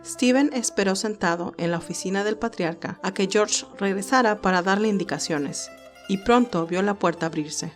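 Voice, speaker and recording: A woman's voice: mid-range voice, moderate pace, soft background music. A woman reading scripted narration for a romantic audio story as its narrator.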